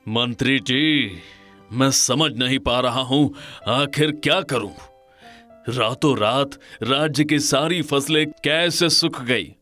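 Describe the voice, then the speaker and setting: An earnest cartoon storybook king, acted by a man with an authoritative, formal way of speaking. He takes his words slowly at a middling pitch. Music plays softly underneath. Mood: worried. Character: earnest, authoritative adult Indian king in a folk tale. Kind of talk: dramatised cartoon storybook dialogue.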